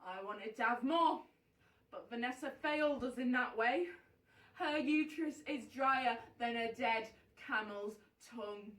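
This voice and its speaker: A woman voicing a rough, menacing butcher husband who sneers and belittles in a theatrical monologue. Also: on the high side, measured pace, fairly bright tone. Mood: scornful. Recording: roomy echo, distant microphone.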